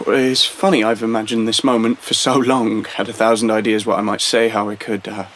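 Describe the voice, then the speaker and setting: A man's voice: fairly low pitch, level tone, normal speed, fairly bright tone, a little hiss or hum, close to an everyday voice. An actor playing an awkward, remorseful young man in an audio drama, speaking hesitantly with stammered starts. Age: young adult.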